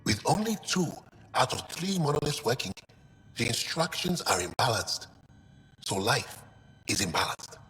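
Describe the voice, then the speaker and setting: A man's voice: mid-range voice, big pitch swings, a little slow, long pauses, a bit bright, slightly rough, full character voice. An actor playing an earnest, thoughtful male alien scientist in a video game, explaining things a little hesitantly. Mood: earnest, concerned. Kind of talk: video game dialogue acting. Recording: long reverb, music underneath.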